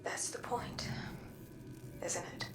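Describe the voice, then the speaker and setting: A woman playing a weary, frustrated sister weighed down by guilt in a video game, speaking haltingly and breathily. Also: in a whisper, lightly acted. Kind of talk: scripted video game dialogue. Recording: echoey, distant, loud music underneath. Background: loud music.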